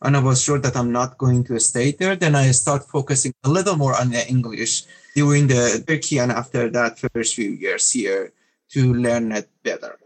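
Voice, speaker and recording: A man's voice: mid-range voice, moderate pace, low-quality recording. Man. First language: Farsi. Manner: hesitant, with fillers and restarts. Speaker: guest. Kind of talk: casual interview talk.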